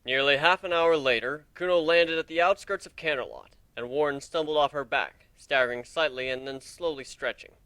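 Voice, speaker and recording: A man's voice: fairly high pitch, moderate pace, few pauses, bright, forceful tone, clear, resonant tone, dry recording. A man reading a story aloud as narrator, in a dramatic audiobook style. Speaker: narrator of a fan fiction reading.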